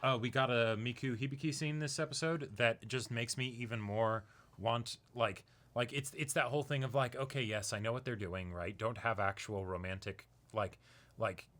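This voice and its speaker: Guy just chatting on a reaction show, mid pitch, rambles along, does little acted-out asides. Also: steady tone, normal speed, a bit bright. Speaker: co-host of an anime reaction show. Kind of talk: casual discussion, anime commentary.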